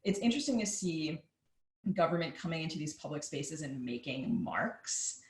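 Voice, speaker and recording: A woman co-hosting a podcast, talking casually and informally in a halting, conversational way. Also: deep voice, big pitch swings, darkish tone. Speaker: podcast co-host. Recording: roomy, echoing sound.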